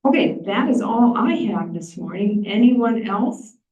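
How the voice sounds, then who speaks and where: Woman presenter, mellow tone, distant mic in an echoey room with dull sound. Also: medium pitch, animated, a little slow. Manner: plain-spoken, explanatory. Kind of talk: meeting presentation. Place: large meeting room.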